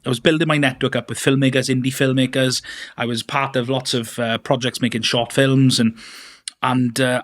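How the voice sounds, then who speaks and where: A man's voice: mid-range voice, fairly bright tone. Chatty man telling his story. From Wales. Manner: chatty, rambling, many fillers and restarts. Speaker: podcast guest. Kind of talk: casual interview storytelling.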